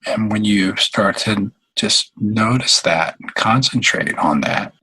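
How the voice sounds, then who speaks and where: A man with a fairly low, husky voice leads a guided meditation. He speaks very slowly and leaves long pauses, often halting mid-phrase. His tone has a bright, forward edge despite the unhurried delivery. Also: lively intonation, poor audio.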